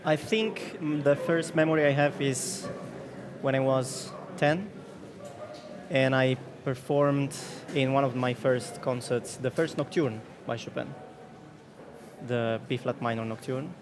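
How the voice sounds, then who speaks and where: Man in his twenties, measured. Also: medium pitch, steady tone, crowd noise. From Italy. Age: 20s. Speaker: competition pianist guest. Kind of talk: panel talk.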